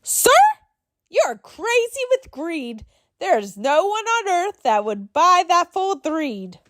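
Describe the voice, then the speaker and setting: Lady doing a grumpy little old mossy creature from a storybook, sharp n bossy, scolding, very lively pitch, close dry sound. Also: takes it slowly, no room sound, exaggerated voice. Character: short oldish mossy forest creature, bossy and indignant, male.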